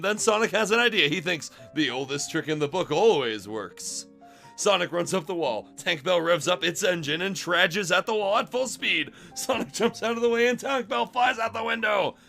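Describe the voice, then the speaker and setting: A man's voice: sits high, fairly quick, vocal fry, pushed and bright. A man giving a comic dramatic read-aloud on a livestream, playful and prone to breaking into laughter. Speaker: streamer reading fan fiction. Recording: background music behind the voice. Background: music.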